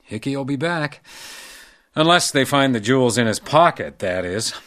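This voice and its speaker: An actor playing a blunt, loyal ex-drunk hotel detective, dry and probing, in a radio detective drama. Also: male voice, medium pitch, expressive, moderate pace, a bit bright, no echo, lightly acted. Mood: needling, probing. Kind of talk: radio detective drama acting with noir narration.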